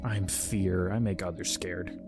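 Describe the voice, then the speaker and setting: A man's voice: low voice, steady tone, unhurried. Man acting Fear, a solemn, anguished spirit over music. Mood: fearful, reluctant. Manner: formal, archaic, solemn wording. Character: Fear, powerful anguished male emotion spirit, self-restraining, dreaded. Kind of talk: audio drama acting. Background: music under the voice.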